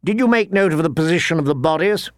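A man's voice: a little high, expressive, quick pace, dry recording, clean studio sound, pitched above the performer's own voice, close to an everyday voice. A man voicing a haughty eighteen-year-old knight in an audiobook, condescending and languid as he questions his men. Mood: probing.

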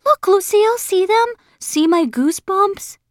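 Woman putting on a little boy, very high pitched, shouting, eager, excitable, storybook character. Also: a little slow, no echo, clean studio sound. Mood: thrilled. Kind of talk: children's audiobook character voice.

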